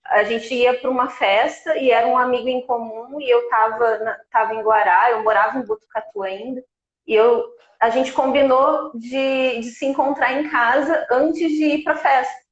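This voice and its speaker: A woman's voice: fairly high pitch, steady tone, normal speed. A woman of forty, a guest nutritionist telling her story in a conversational way over a remote call. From Brazil. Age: 40s. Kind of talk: casual live chat. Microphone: remote video call. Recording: echoey, dull remote call audio.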